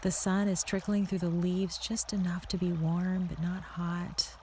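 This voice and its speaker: A woman narrating a guided meditation in a soothing, unhurried, softly spoken way with a creaky voice, leading the listener through an imagined scene. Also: fairly low pitch, little pitch movement, a little hiss or hum. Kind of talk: guided meditation, second-person scripted visualization.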